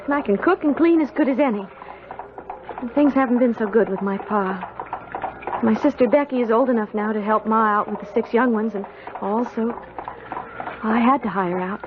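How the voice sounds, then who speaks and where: A woman's voice: fairly high pitch, normal speed, long pauses, background noise, close to an everyday voice. An actress playing a nervous but plucky young country servant girl, plain-spoken and hesitant, in a 1970s radio drama. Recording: narrow-band old radio broadcast.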